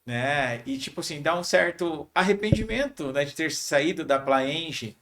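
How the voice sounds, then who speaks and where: A talkative male podcast host with a slightly raised voice, who keeps chiming in with reflective asides. His delivery is relaxed and conversational, the easy rhythm of a casual studio chat. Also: a little high, measured pace, noisy background. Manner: chatty, adds reflective side comments.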